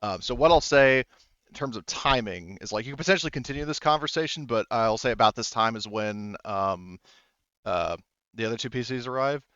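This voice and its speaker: A man narrating a tabletop game casually as game master, in a rambling, halting way with frequent self-interruptions. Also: mid-range voice, a bit bright, smooth, no echo, muffled recording.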